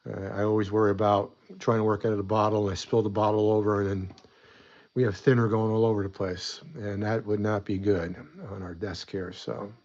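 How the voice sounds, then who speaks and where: A man's voice: fairly low pitch, little pitch movement, fairly quick, a little mellow, muffled recording. A man hosting a casual hobby weathering tutorial at his desk, chatty and rambling, a bit stuffy from a coming cold. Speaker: model weathering host.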